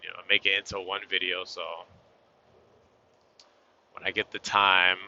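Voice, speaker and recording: A man with a bright, forceful and fairly low voice talks his way through his shift from the cab of a moving truck, loose and chatty. He sounds slightly hoarse. The sound is dull and lo-fi, as if caught on a phone set up in the cab. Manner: laid-back, chatty, southern-style y'all. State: losing his voice a little. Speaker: truck driver vlogger. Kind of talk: casual vlog talk to camera while driving. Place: inside a truck cab on the road. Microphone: phone or camera on a tripod.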